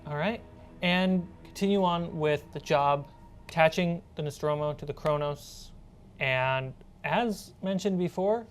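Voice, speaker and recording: A woman's voice: low-pitched, unhurried. A woman running a tabletop role-playing game as game master, narrating scenes and answering players. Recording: background music.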